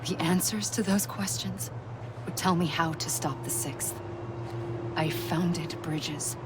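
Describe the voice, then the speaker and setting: A grave, haunted founder in a video game cutscene. Her voice is low and soft, and she often drops close to a whisper. A loud orchestral score plays clearly under her words. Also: steady tone, slow pace. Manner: quiet, intimate, often near a whisper. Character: grave woman who founded a network, haunted. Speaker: game character. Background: orchestral game music.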